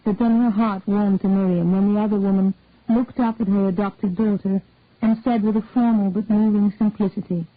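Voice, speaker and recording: A woman calmly narrating a detective story in a measured reading voice. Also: medium pitch, dry recording. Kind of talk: audiobook-style story reading. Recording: dry, tinny phone-like sound.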